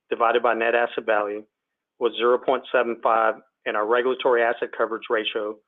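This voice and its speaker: Male finance executive, mid-pitched, even and formal scripted read, narrow telephone audio. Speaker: company finance executive presenting quarterly results. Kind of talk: scripted earnings presentation. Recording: tinny narrow phone line.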